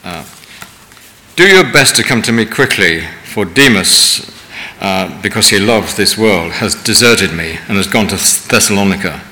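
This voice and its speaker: A man reading scripture aloud in church, measured with occasional stumbles. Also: fairly low pitch, animated, pushed and bright. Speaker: scripture reader. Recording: distant mic in reverberant hall.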